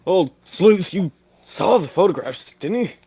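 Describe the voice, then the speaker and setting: A man playing a pompous, excitable gentleman sidekick in 1940s radio detective style, with a husky voice and old-fashioned manners of address. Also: high-pitched, a little slow, no echo, heavily acted. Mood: excited, theatrical.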